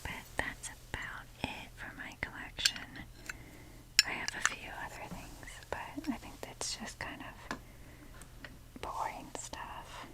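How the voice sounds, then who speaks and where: Woman whispering slow, casual ASMR rambles. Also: poor audio. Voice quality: soft, breathy whisper. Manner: chatty, self-deprecating, mildly sweary. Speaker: ASMR video creator.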